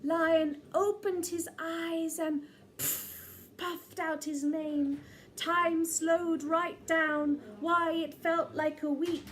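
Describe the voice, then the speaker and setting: A woman reading a children's picture book aloud in an expressive, theatrical way with drawn-out emphasis. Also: very high voice, a little hiss or hum. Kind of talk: expressive read-aloud storytelling.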